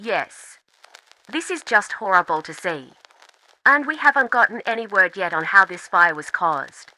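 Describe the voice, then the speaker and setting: A female field reporter giving a scripted live news report. Her voice is very bright and sharp, with lively swings in pitch around an average level. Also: unhurried, low-quality recording.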